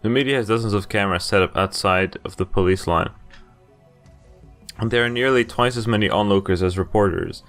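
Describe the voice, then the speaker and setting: A man's voice: lowish voice, fairly quick, a bit bright, faint music behind. A man hosting a game playthrough, reading visual novel narration aloud and breaking off for casual commentary. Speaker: video game playthrough host.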